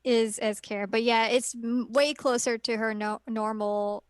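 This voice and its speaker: A woman co-hosting a podcast in casual chat, upbeat and chatty with quick reactions. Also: on the high side, steady tone, clear, resonant tone, no room sound, studio quality. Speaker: podcast co-host.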